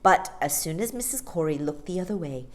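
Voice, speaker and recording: A woman reading a storybook aloud in a theatrical, deliberate, expressive narrating style. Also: deep voice. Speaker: storytime reader.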